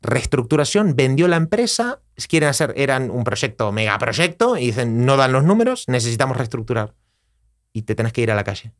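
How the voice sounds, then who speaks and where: A man in his thirties with an Argentine Spanish accent, a podcast guest telling his story in a casual, animated way. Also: mid-range voice, fairly quick. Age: 30s. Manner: animated storyteller, gestures while talking, acts out quoted dialogue. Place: small studio with acoustic panels. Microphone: dynamic mic on desk stand, headphones.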